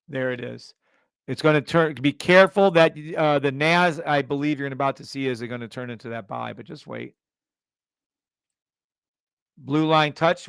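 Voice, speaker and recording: A middle-aged man hosting a live trading stream, calling out orders in quick bursts with brash, profane commentary. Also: mid-range voice, creaky, a bit bright, clear, resonant tone, dry recording, studio quality.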